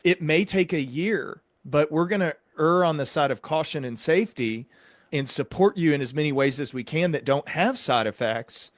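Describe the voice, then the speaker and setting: A man's voice: a little high, expressive, moderate pace, no echo. A man hosting a podcast, explaining things conversationally in an earnest, reflective way. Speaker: podcast host; psychiatric doctor.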